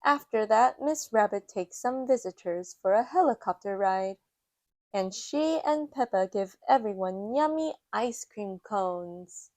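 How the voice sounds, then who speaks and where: A woman reading a children's picture book aloud with a measured storytelling delivery. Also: mid-range voice, animated, darkish tone, no room sound. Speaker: storytime reader.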